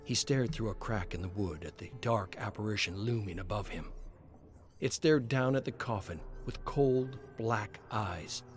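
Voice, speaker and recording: A man's voice: on the low side, a little slow. Male narrator telling horror fiction over music. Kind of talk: scripted dramatic narration of a horror story. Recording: music under the voice.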